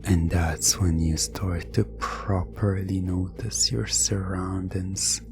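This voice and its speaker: Low voice, very slow pace, hushed hypnosis roleplay narration, suggestive, frequent whispering, ASMR, music underneath. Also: little pitch movement. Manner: hushed, drawn-out, suggestive, often dropping into whispers.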